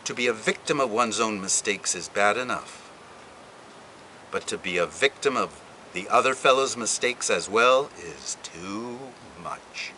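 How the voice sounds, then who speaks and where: A man reading a book passage aloud, with an expressive, deliberate delivery and dramatic pauses. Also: fairly low pitch, slight background noise. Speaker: reader. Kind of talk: literary reading.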